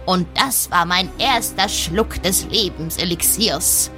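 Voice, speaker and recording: A woman's voice: fairly low pitch, slow pace, over music. A woman voicing a fierce, boastful dwarf aunt who tells violent tales fondly and matter-of-factly in an improvised fantasy audio play.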